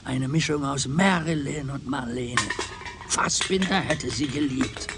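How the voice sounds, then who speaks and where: An actor playing a roguish, chatty older uncle in a radio crime drama, teasing, with florid relish. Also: male voice, mid-range voice, unhurried, background noise, close to an everyday voice. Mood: gossipy, amused. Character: roguish, chatty old uncle, womanising bon vivant.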